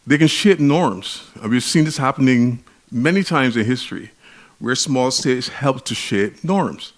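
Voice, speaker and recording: Barbadian man giving a measured lecture. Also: medium pitch, lively intonation. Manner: measured, emphatic, with frequent restarts. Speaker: conference speaker. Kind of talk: academic conference lecture.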